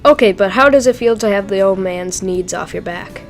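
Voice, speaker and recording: A woman's voice: medium pitch, moderate pace, faint music behind, lightly acted. A woman voicing a joking young male speedster hero in an amateur audio drama, chatty and teasing.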